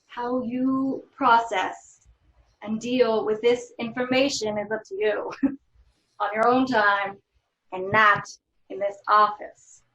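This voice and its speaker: Slow, measured, formal woman acting an official. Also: a little high, steady tone, a bit bright, muffled recording. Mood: grave, firm. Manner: deliberate, measured, formal with long pauses. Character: stern, formal female law office official breaking news. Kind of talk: dramatic script table read.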